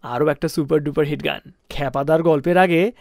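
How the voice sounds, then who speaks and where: A man presenting a music radio show in a chatty, animated storytelling way, speaking straight to the listener. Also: on the high side, fairly quick, gravelly.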